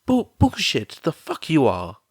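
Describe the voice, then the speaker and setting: A man voicing a brash, foul-mouthed middle-aged mother who swears and stammers, raised high and emotional. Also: huge pitch swings, unhurried, breathy edge, no echo, studio quality, exaggerated voice. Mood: distraught.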